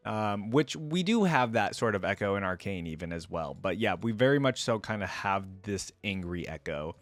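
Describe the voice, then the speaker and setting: A man's voice: fairly low pitch, big pitch swings, normal speed, no echo. A man giving casual reaction commentary, informal and musing as he thinks aloud. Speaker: reaction video host.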